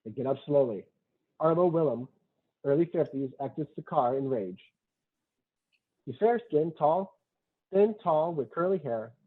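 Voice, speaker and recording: A man's voice: on the high side, animated, a little slow, long pauses, breathy edge. A man reading screenplay stage directions aloud at a table read, matter-of-fact, in short clipped sentences. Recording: thin, phone-like online call audio.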